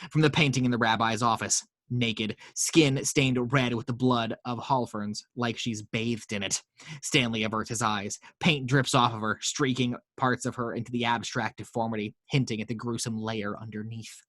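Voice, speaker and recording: A man reads horror stage directions at a mid pitch, in a steady storytelling way with the odd stumble. His voice is dry and close, with no room sound. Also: moderate pace, no echo. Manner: steady storytelling read with small slips. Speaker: narrator reading action lines. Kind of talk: table read narration.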